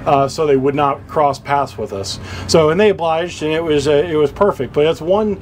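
Male boating host, easygoing demo delivery, slightly high voice, noisy onboard recording. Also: moderate pace, a little mellow. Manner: casual, rambling, many fillers and restarts. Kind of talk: hands-on equipment tutorial.

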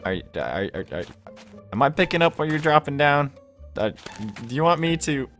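A man playing an excitable, awkward young man in an improvised video game roleplay, stammering and giddy. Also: on the high side, normal speed, faint music behind. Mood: nervous excitement.

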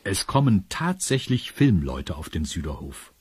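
A man's voice: low voice, sing-song, measured pace, a little mellow, husky, dry recording. A man narrating a children's audio drama in a scripted storytelling style. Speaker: narrator of a children's radio play.

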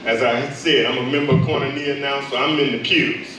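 A man's voice: mid-range voice, steady tone, fairly quick. An older man, a retired pastor, earnestly asking a question from the floor. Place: large church hall. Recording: roomy echo, distant mic, noise.

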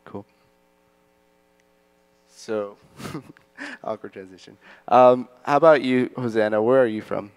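A man's voice: medium pitch, slow pace, pauses often, smooth, no room sound. A young man casually moderating a student panel on an auditorium stage, upbeat and full of fillers. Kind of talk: moderating a school chapel panel.

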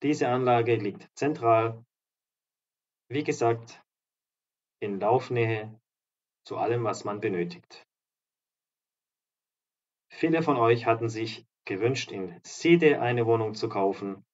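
A slightly hoarse man giving an upbeat, promotional walkthrough of apartments as a real estate agent and vlogger. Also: mid-range voice, takes it slowly, pauses often, lo-fi sound. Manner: upbeat, promotional, walks through rooms while talking. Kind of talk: property tour narration. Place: apartment complex in a seaside town, indoors and outdoors.

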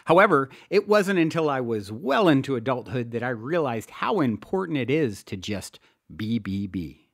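Man narrating self-help with lively, swooping intonation. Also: medium pitch, normal speed, no room sound, clean studio sound. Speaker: podcast host and author reading own audiobook. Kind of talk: scripted audiobook narration of self-help book.

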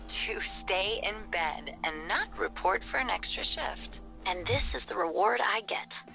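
An actress voicing a young woman crew member in love, intimate, playful and halting, in a naturalistic game style. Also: mid-range voice, animated, vocal fry, bright, forceful tone, faint music behind, exaggerated voice, rough recording. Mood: playful, affectionate.

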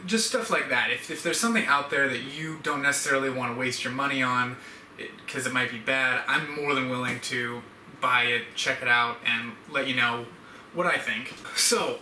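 A man's voice: medium pitch, pushed and bright. A young man hosting a casual vlog, talking to camera in a rambling, conversational way. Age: young adult. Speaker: YouTube channel host. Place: indoor room at home, standing. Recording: echoey room, distant mic, background hiss.

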